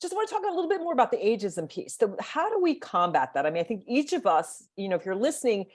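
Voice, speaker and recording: A woman's voice: fairly high pitch, very animated, quick pace, a little mellow. Enthusiastic woman interview host. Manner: enthusiastic, upbeat, chatty. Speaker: host, midlife coach. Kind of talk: conversational interview.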